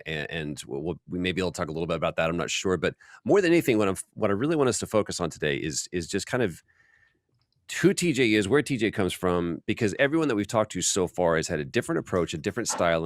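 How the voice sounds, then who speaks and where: Deep-voiced man hosting casually. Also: no room sound, clean studio sound. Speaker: host. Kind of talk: casual workshop interview.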